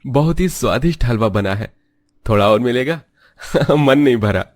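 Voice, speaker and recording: A man's voice: medium pitch, animated, moderate pace, natural voice. A man voicing an earnest, loving poor young labourer and husband in a plain-spoken way. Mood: pleased.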